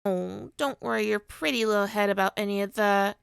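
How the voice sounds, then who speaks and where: A woman's voice: medium pitch, fairly quick, gravelly, smooth, no room sound, studio quality, close to an everyday voice. A woman playing a smug, teasing supervillain with hypnotic powers in an ASMR roleplay, taunting and theatrical in a condescending way. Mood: commanding, soothing. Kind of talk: ASMR roleplay monologue to listener.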